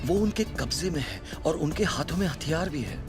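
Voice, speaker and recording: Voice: medium pitch, sing-song, normal speed, lightly acted. An actor voicing a brave, determined young boy jungle adventurer in a dubbed cartoon. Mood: earnest, searching. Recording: loud music over the dialogue.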